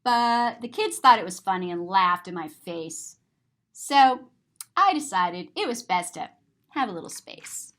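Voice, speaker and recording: A woman's voice: medium pitch, lively intonation, measured pace, a bit bright. A woman reading a children's picture book aloud as a story-time host, expressively and with simple explanations for young listeners.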